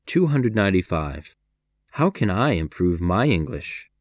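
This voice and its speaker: A man with an American accent reading numbered practice sentences for an English lesson, clear and measured. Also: low voice, big pitch swings, no echo.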